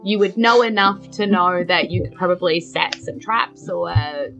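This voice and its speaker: A woman's voice: lowish voice, normal speed, vocal fry, a bit bright, faint music behind, low-quality recording. A woman with a New Zealand accent casually narrating a tabletop game as dungeon master.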